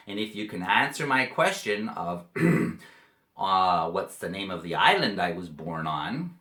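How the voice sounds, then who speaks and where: A man from Canada, giving a video lesson to young pupils in slow, simple, friendly speech. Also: fairly low pitch, pushed and bright. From Canada, British Columbia. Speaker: English teacher for children. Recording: roomy echo.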